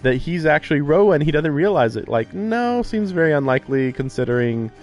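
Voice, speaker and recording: A man giving casual live-stream commentary on a game, thinking aloud in halting, musing fragments. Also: fairly high pitch, lively intonation, darkish tone. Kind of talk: casual live commentary on a visual novel playthrough. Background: music.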